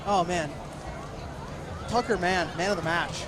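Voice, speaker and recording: A man's voice: high voice, a little slow. A man giving color commentary on a live soccer match, eagerly urging the players on. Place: outdoor soccer field. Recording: very loud crowd and outdoor noise. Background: loud cheering crowd.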